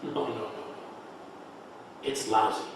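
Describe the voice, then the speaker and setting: A man's voice: measured pace, lightly acted. Echoey, blunt disapproving friend played by a man. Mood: reproachful. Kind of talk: stage play table read. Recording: echoey room, distant mic, noise.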